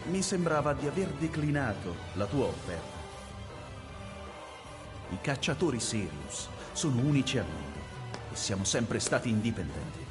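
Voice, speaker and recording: A man's voice: mid-range voice, very animated, brisk, over music, close to an everyday voice. A man voicing a proud, elegant exorcist leader in a video game, formal and defiant in manner. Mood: proud, wary. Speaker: game character voice actor. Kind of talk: dramatic Italian game cutscene acting.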